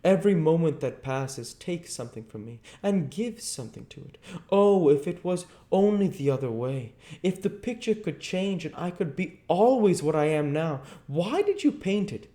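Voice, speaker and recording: Brief: a man playing a vain young man in anguish, pushed well above his natural pitch, pleading and lively. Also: normal speed, few pauses, lightly acted.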